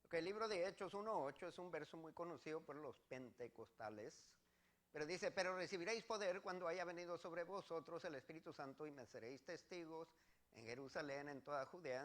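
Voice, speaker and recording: A man's voice: on the high side, a bit bright. A man with a Mexican accent preaching as a pastor, teaching scripture step by step to a congregation with emphatic, repeated phrases.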